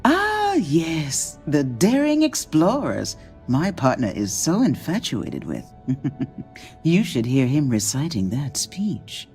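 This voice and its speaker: A theatrical, cunning corporate wife in a video game. Her voice is lowish and soft-edged, with hugely animated melody. She teases and charms, arch and gushing. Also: slow pace, soft background music, full character voice. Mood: arch, sly.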